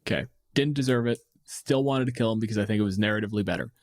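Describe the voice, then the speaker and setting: Canadian male co-host, lowish pitch, dry close mic, sardonic and blunt, casual conversational delivery. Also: racing, a little mellow, no echo. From Windsor, Ontario, Canada. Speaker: podcast co-host. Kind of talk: casual chat about tabletop gaming.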